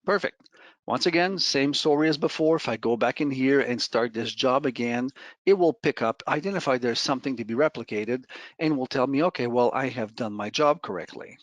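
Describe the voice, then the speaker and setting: Male software demo presenter, conversational and step-by-step, middle-pitched voice, dry close recording. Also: a little fast, no echo. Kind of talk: informal screen-share software walkthrough.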